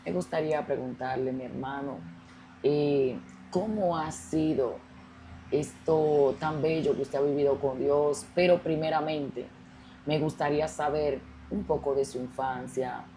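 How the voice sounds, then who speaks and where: Very deep-voiced woman pastor. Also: steady tone, measured pace, slight background noise, rough recording. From Colombia. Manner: warm devotional phrasing, frequent affirmations. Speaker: pastor and host.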